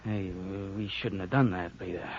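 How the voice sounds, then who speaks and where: Actor as an uneasy American musician, muffled old radio. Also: male voice, deep voice, steady tone, normal speed, slight background noise, lightly acted. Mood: awkward, hesitant. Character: uneasy, hesitant male musician, fed up with marriage. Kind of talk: 1950s radio drama acting. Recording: dull, lo-fi 1950s radio recording.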